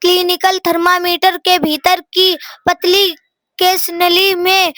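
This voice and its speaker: Very high, bright young schoolgirl reading aloud. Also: flat delivery, dry recording, studio quality. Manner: halting, word-by-word reading with stumbles. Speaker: student reading a lesson aloud.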